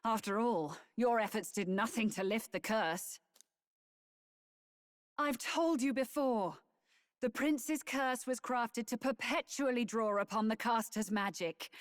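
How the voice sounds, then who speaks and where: A woman playing a serene, cold church heiress in a fantasy game, with a measured, lofty, theatrical delivery. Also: fairly high pitch, no room sound, studio quality. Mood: cold, taunting. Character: serene, cold church heiress, a self-appointed saintly villainess. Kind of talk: dramatic fantasy game acting.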